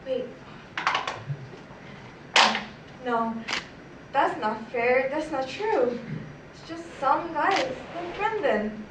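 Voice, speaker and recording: A woman's voice: sits high, expressive, slow. An actress playing an exasperated woman in a stage monologue, venting indignantly and dramatically about her boyfriend. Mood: defiant. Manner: conversational, indignant, dramatic storytelling with outbursts. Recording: echoey stage, distant mic, background noise.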